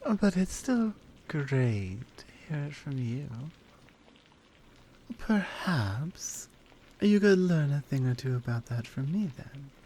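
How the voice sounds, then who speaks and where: A woman voicing a flirty, confident lioness in an intimate, teasing ASMR roleplay, softly coaxing and often slipping toward a whisper. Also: low voice, big pitch swings, slow, exaggerated voice. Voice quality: soft, breathy. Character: flirty, confident, forward adult lioness armorsmith.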